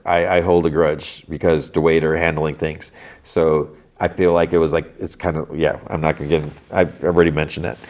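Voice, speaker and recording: Stuffy-nosed man with a very deep voice. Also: a little mellow. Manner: rambling, self-interrupting, many restarts. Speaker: podcast host. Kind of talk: casual solo comics chat.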